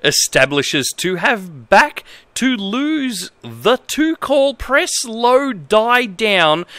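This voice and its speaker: High-pitched, animated Australian man. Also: no echo. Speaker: streamer. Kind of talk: casual livestream, halting comedic read-aloud. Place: indoor room at a desk.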